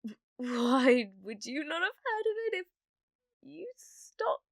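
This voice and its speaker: A woman acting a slow-talking, obsessive British witch. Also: high-pitched, big pitch swings, no echo, studio quality, full character voice. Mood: flustered, nervous. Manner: flustered, stammering, hesitant, breathy murmuring asides. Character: clumsy, obsessive lovestruck young witch, a bad liar. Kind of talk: ASMR roleplay acting.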